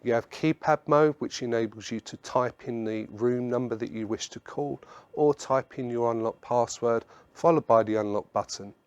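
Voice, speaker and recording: A man presenting a product webinar in a measured, instructional way, explaining slides point by point. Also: medium pitch, a little mellow, clear, resonant tone, muffled recording.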